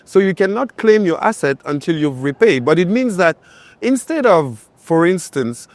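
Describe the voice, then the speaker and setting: A man's voice: high voice, sing-song, moderate pace, no echo. A man from Cameroon and France answering as an interview guest in conversation, often checking his points with "right?". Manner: punctuates points with tag questions like "right?". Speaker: interview guest, finance entrepreneur.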